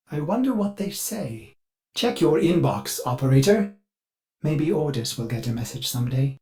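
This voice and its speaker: An actor playing a fussy, eager-to-please artificial mind of a spaceship in a video game, with an overly polite, theatrical and halting delivery. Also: male voice, fairly high pitch, a little mellow, natural voice. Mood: helpful, chipper. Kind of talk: video game character dialogue.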